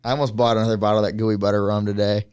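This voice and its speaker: A man's voice: on the low side, level tone, racing, a little mellow, clear, resonant tone. Joking young man in casual chat. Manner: joking, teasing banter.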